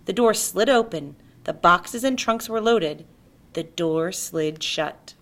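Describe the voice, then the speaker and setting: A woman narrating a children's story aloud in an expressive storytelling style. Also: lowish voice, slow. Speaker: storybook reader.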